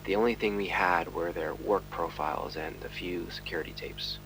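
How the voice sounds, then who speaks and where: An actor playing a weary, driven police detective who narrates his case in a brooding, deliberate way for an audio drama. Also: sits low, background noise, natural voice. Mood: somber.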